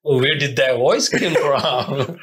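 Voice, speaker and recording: A man, a police constable, telling stories in a studio interview with lively laughs and frequent fillers. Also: medium pitch, slow pace, husky. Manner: animated storytelling with laughs and hesitant fillers. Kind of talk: interview answers.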